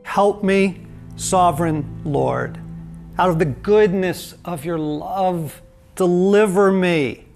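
An older man teaching a video course to camera, talking slowly and earnestly in a conversational way, often checking in with "right?". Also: sits high, creaky, a little mellow, soft background music. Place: dim studio set with lamp.

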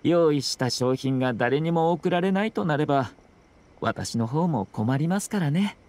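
An actor playing a composed young nobleman in an anime video game, speaking with polite, formal, measured phrasing and a subtly teasing slyness. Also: on the high side, lively intonation, darkish tone, natural voice. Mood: sly.

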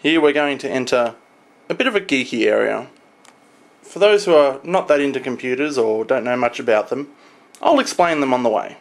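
A young adult man talking informally to a camera in a home room, explaining things in a halting, casual showcase style. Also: mid-range voice, lively intonation. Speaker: tech vlogger. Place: small home room, indoors.

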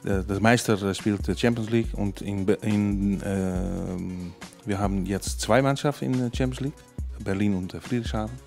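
A middle-aged Dutch man with a Dutch accent, answering interview questions in halting German and searching for words, in a spa studio with a handheld mic. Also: fairly low pitch, vocal fry, darkish tone, faint music behind. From Netherlands. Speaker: volleyball coach, guest. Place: indoor spa studio, fish tanks. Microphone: handheld mic held by host.

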